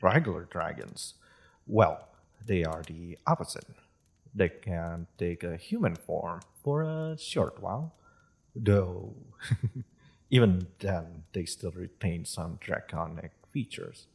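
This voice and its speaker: Male actor as wry half-dragon broker, low husky voice, formal and polite, fantasy roleplay. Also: a little mellow, exaggerated voice. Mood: amused, explanatory. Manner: formal, polite, measured, amused. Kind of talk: scripted fantasy audio roleplay addressed to listener.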